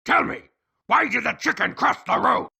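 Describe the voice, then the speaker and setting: A man voicing an evil monster henchman in a video game, with a gravelly, theatrical, gloating menace. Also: extremely high pitch, lively intonation, heavily acted. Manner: theatrical, drawn-out villain delivery. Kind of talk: video game cartoon villain acting. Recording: echoing voice under loud music.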